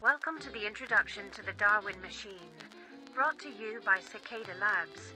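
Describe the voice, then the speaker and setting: A woman's voice: mid-range voice, expressive, normal speed, natural voice, poor audio. A woman playing a polished presenter, narrating a scripted corporate orientation video in a formal way. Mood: formal, welcoming. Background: music.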